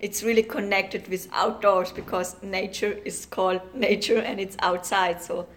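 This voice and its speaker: A woman's voice: medium pitch, steady tone, normal speed. A young woman from Liechtenstein, co-hosting a teacher podcast, talking eagerly with restarts and fillers.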